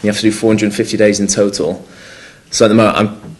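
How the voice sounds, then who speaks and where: A man's voice: lowish voice, little pitch movement, fast. A young man from North Wales, a rugby player, answering press conference questions with lots of fillers and restarts. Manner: hesitant, many fillers and restarts.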